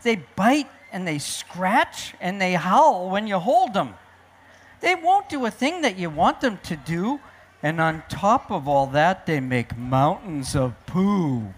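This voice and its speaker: Woman as a grumpy, husky, disgusted crocodile. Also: lowish voice, big pitch swings, slow pace, full character voice. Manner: scornful, complaining. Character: disgusted, grumpy crocodiles who dislike babies.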